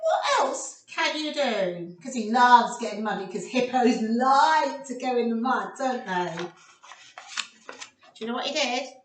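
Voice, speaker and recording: A woman presenting storytime for young children, reading interactively and posing animated questions to her little listeners. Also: fairly high pitch, unhurried, a little hiss or hum. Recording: roomy echo, distant microphone.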